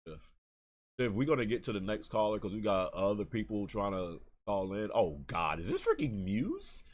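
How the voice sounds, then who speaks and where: A man hosting a livestream and taking callers, talking in casual chat, animated and full of fillers and restarts. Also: lowish voice, normal speed. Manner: animated, laughs often, many fillers and restarts.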